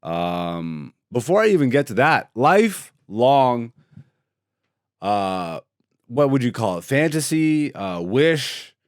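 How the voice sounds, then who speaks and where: A man's voice: medium pitch, big pitch swings, slow, smooth, dry recording, clean studio sound. A man hosting his own podcast solo, chatting in a laid-back, joking and profane way, rambling from topic to topic. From Greece. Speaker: podcast host. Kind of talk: casual solo podcast chat.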